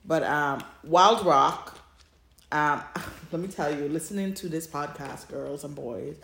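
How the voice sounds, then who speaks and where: A woman's voice: low voice, slow pace, creaky, fairly bright tone, a little hiss or hum. A woman co-hosting a podcast in casual fan chat, recorded in an echoey room. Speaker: podcast co-host.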